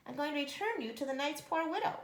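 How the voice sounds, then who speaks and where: A woman's voice: sits high, expressive, fast talker, reverberant, pitched above the performer's own voice. A woman voicing a brave, determined girl in a storybook reading, with an emphatic, exclaiming manner. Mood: firm.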